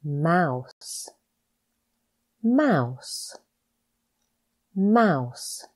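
A woman English teacher reading scripted pronunciation practice with deliberate, careful enunciation. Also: deep voice, very animated. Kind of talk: scripted lesson narration, reading words for practice.

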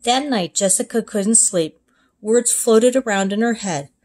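A woman narrating a children's storybook as a kindergarten teacher, expressive, in clearly separated phrases. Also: a little high, a little slow. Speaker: kindergarten teacher reading aloud. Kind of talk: storybook read-aloud narration.

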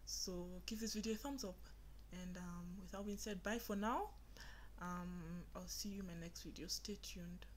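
A woman's voice: on the low side, steady tone, moderate pace, a little hiss or hum. A young woman with a Nigerian accent, chatting earnestly to viewers in a casual vlog from her bedroom. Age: young adult. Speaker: vlogger. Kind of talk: casual get-to-know-me vlog. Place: small bedroom indoors.

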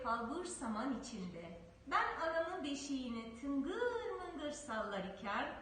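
A preschool teacher reading a folk tale aloud to children in a slow, expressive storytelling manner, recorded in a very echoey room with the voice off-mic. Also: female voice, high voice, recorded from a distance, slight background noise.

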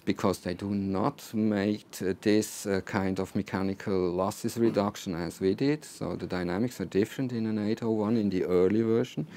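A man in his sixties presenting technical material to a small group, phrasing deliberately with repeated words and hesitations. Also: low voice, gravelly, warm tone. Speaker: loudspeaker designer presenting. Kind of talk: technical talk to a small audience. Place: small recording studio control room with seated audience.

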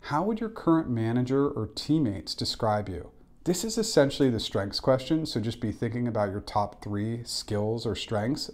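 Male coach, 40s, fairly low voice, direct instructional delivery to camera, stressing key points. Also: darkish tone. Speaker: interview coach and former recruiter. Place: small indoor room.

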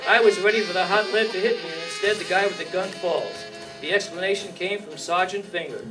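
Hardboiled radio gumshoe, played by a man: sardonic wisecracks in a high voice, distant hall mic with faint noise. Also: brisk, over music, close to an everyday voice. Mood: wry, tough. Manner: wry, sardonic. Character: wisecracking, streetwise male hardboiled radio detective. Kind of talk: 1950s radio noir acting and narration. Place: live stage reading in a meeting hall.

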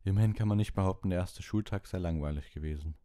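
A man's voice: deep voice, fast talker, dark tone, pitched above the performer's own voice, close to an everyday voice. A man voicing a loyal, grumbling schoolboy in a storybook, with a casual, emphatic way of talking.

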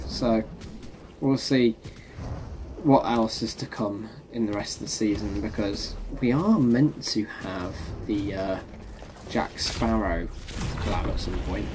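Chatty young man gaming. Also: lowish voice. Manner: chatty, addresses viewers as folks. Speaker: gaming YouTuber. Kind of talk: casual gameplay commentary. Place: home room, facecam. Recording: background noise.

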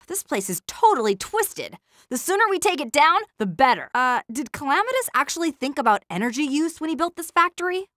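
Snarky goth teen girl for a video game, cynical eco hero, super animated, dry close sound. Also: a little high, no echo. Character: sarcastic, feisty teenage goth eco-activist girl heroine. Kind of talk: video game character voice lines.

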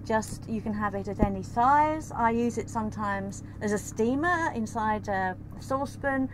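A middle-aged woman with a slightly high voice chats brightly and emphatically about handy kitchen gear. She sits outdoors on a sailboat. Steady wind and water noise run clearly beneath her. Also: normal speed. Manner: bubbly, emphatic. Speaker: sailing vlog co-host. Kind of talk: casual chat presenting gear. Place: cockpit of a sailboat at anchor, outdoors.

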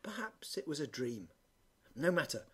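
A woman as a husky, kindly old shoemaker. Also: low voice, sing-song, moderate pace, pitched below the performer's own voice, full character voice. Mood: musing, wondering. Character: kindly, thoughtful old male Russian shoemaker. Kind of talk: storybook character reading.

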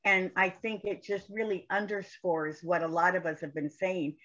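A woman's voice: on the low side, little pitch movement, moderate pace, fairly bright tone, highs cut off. A woman participant on a video call telling a personal story in a workshop, earnest and full of conviction. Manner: earnest, recites a poem with conviction.

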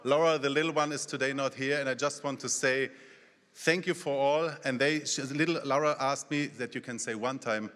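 Male convention fan, medium pitch, earnest delivery, hall reverb. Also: steady tone, normal speed, a bit bright. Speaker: audience fan. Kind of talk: earnest thanks and request. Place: large convention hall, indoors.

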